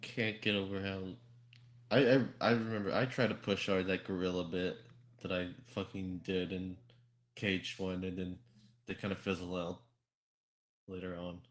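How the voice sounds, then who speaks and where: A man chatting as host of a casual group podcast. His voice sits slightly low, and he talks haltingly, circling back over his words and swearing freely. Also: fairly even intonation.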